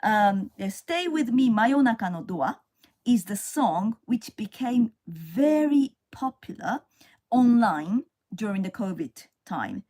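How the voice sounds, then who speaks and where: Slow-speaking Japanese woman streaming live. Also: a little high, dark tone. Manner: unhurried, stops often with fillers, greets viewers. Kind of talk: casual live-stream chat.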